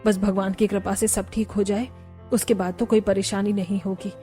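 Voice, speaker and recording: A woman voicing a worried middle-aged mother-in-law in a family cartoon, speaking in a measured, concerned way. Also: mid-range voice, little pitch movement, mellow, soft background music, pitched below the performer's own voice, natural voice. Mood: resigned, hopeful. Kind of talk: Hindi family cartoon voice acting.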